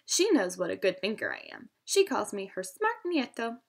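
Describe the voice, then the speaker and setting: A woman reading a storybook aloud for children in an expressive, instructional teacher's manner. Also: medium pitch, moderate pace, vocal fry.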